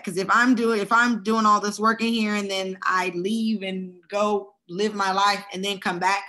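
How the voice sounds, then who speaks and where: A woman with a slightly raspy voice, casually talking through a tutorial step by step and often checking in with "okay?". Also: medium pitch, a bit bright. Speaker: travel agent teaching video making. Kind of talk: screen-recorded tutorial walkthrough.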